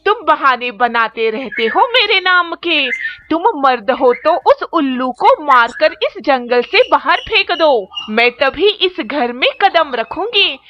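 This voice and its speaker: A woman's voice: sits high, animated, a little slow. A woman voicing a demanding cartoon crow wife, nagging and reproachful, in a muffled, slightly noisy recording. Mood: scolding. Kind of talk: children's cartoon story dialogue. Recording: muffled lo-fi with faint noise.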